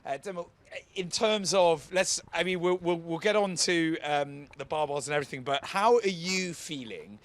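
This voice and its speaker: A man's voice: on the high side, moderate pace, hardly any pauses. A man hosting a rugby podcast, chatting casually and jokily with many filler words. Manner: jokey, hesitant with filler words. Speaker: podcast host. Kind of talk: casual chat.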